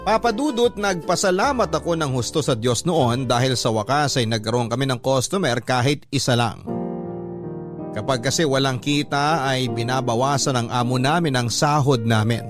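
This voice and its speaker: Male radio storyteller over soft music. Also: medium pitch. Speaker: radio storyteller reading letters. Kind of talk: scripted first-person drama narration in Tagalog.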